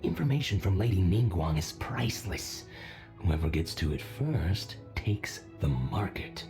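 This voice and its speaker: A man's voice: low voice, animated, a little slow, music in the background. An actor playing a shrewd, opportunistic businessman in a video game, keen and calculating.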